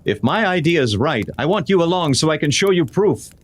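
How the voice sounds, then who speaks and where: A man playing an earnest, courteous masked lawman in an old-time western radio drama, speaking in deliberate, declarative lines. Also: on the high side, very animated. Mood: grave, resolute.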